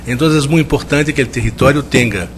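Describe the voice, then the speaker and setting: A man from Brazil speaking with a strong Brazilian Portuguese accent, giving a measured, reflective conference talk and often restarting as he searches for words. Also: mid-range voice, a little hiss or hum. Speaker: guest lecturer. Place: lecture hall.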